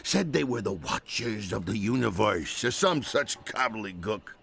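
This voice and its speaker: An actor playing a grumpy blue-collar union repairman in a video game, griping and wisecracking in a gravelly voice. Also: lowish voice, lively intonation, normal speed, fairly bright tone, slight background noise. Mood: indignant. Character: grumpy working-class union repairman, put-upon griper. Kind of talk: animated video game cutscene acting.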